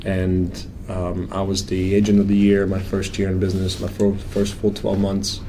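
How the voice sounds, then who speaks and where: A man's voice: deep voice, monotone, moderate pace, background noise. A man from Croatia with a Croatian accent, a firm owner talking plainly and earnestly about his work in a casual interview, with frequent ums. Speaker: financial planning firm owner.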